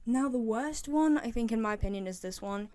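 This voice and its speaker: Young woman doing casual game fan commentary, kinda high voice, chatty and opinionated. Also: lively intonation, a little fast, warm tone. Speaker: video creator reviewing game voice lines.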